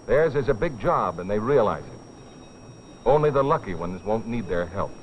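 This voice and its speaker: A man's voice: fairly low pitch, animated, moderate pace, a little hiss or hum. A man delivering dramatic, solemn scripted narration for an educational film, with rhetorical weight. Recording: dull, dated film soundtrack with music.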